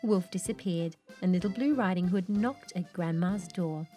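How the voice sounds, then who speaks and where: A woman reading a children's picture book aloud in an expressive read-along style, with faint music behind. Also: on the low side, moderate pace. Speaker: children's storybook narrator.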